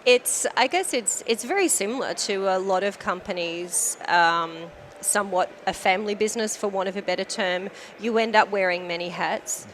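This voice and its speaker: A woman's voice: medium pitch, moderate pace, hardly any pauses, fairly bright tone, a little hiss or hum. A woman from Australia with an Australian accent, chatting as a guest on a podcast interview, talkative with frequent fillers. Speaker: guest, company manager.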